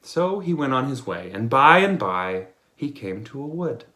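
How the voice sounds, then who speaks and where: A man's voice: mid-range voice, sing-song, unhurried, sharp tone, reverberant. A man reading fairy tales aloud in a playful storytelling manner. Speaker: storybook reader.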